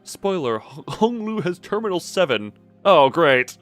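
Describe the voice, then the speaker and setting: A man's voice: fairly high pitch, sing-song, unhurried, fairly bright tone, soft background music. A man streaming a game with casual live commentary, rambling and correcting himself as he goes.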